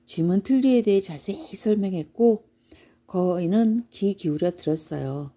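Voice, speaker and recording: A woman narrating a children's bedtime storybook in a measured storytelling manner. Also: mid-range voice, lively intonation, dark tone.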